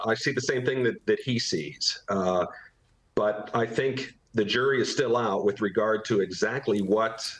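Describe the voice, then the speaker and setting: Low-voiced man giving careful analysis. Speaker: expert guest, former investigator. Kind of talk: analytical TV news interview commentary.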